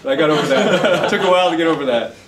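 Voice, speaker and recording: A man's voice: on the high side, noisy background. A male interviewer asking questions and sharing his own story in a chatty, self-mocking way. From Chinese background. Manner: chatty, quick to laugh at himself. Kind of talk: casual interview talk. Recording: echoey room from far off.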